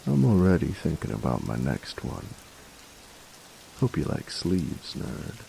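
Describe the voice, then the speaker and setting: A man playing a gruff young bully turned affectionate boyfriend in an intimate roleplay audio drama, slangy and teasing, halting when sincere. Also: extremely low pitch, wildly swooping pitch, warm tone, slight background noise, full character voice, rough recording. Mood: content, teasing. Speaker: voice actor.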